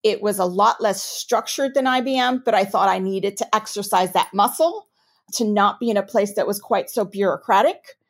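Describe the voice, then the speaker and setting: A woman from New York with a New York accent, answering interview questions as a compliance officer in a measured, explanatory way. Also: medium pitch. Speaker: chief ethics and compliance officer.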